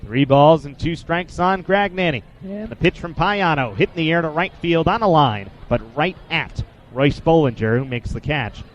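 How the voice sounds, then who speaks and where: A man in his twenties doing live baseball play-by-play for radio, in a measured, descriptive way with statistics between pitches. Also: lively intonation, highs cut off. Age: 20s. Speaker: play-by-play sportscaster.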